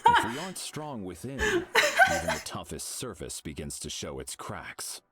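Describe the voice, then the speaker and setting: Solemn golden cosmic man, preachy, very low, huge pitch swings. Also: huge pitch swings, moderate pace, creaky, heavy background noise, exaggerated voice. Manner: solemn, lofty, dramatic swings. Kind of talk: video game voice lines.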